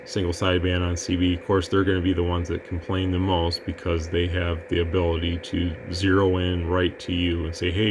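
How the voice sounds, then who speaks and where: A man testing radio gear in a relaxed, rambling way, breaking into mic checks and counting. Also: sits low, noisy background. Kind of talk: casual product demo.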